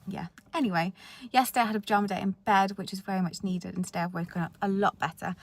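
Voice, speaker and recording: A young woman talks candidly to her camera, sharing her days in a loose diary-style vlog. Her voice sits at an ordinary middle pitch but carries a noticeable creaky fry throughout. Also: steady tone, fast. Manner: chatty and candid, talking straight to camera. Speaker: vlogger.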